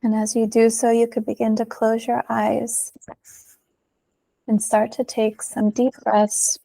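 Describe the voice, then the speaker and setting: A woman leading a guided meditation in a soothing manner, pausing often, with soft phrase endings. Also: on the high side, completely flat delivery, creaky, no echo. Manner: slow, soothing delivery with long pauses.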